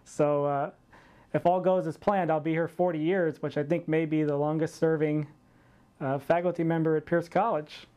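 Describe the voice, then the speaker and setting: A man in his forties talking casually about his work as a college math instructor, in a hesitant, measured manner. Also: on the high side, little pitch movement, mellow, clear, resonant tone. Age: 40s.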